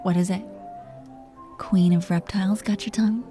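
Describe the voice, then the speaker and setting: A woman's voice: medium pitch, fairly even intonation, moderate pace, mellow, soft background music, close to an everyday voice. A woman voicing a seductive, possessive dragon queen in an intimate romantic roleplay audio, teasing and commanding as she flirts with pet names. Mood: mock-offended, flirtatious.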